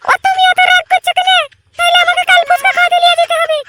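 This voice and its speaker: A woman's voice: very high-pitched, little pitch movement, fast, sharp tone, a little hiss or hum. A woman voicing a pouting, clingy young girlfriend in a comedy cartoon, with a whiny, sulky manner. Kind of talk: cartoon voice acting.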